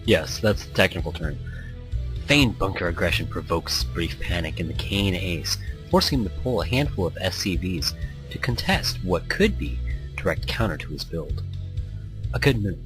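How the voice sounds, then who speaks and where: A man with a fairly low voice reads a visual novel game aloud as a let's play host. His storytelling is playful and a little theatrical, and music runs underneath. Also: lively intonation, normal speed, fairly bright tone, low-quality recording. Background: background music.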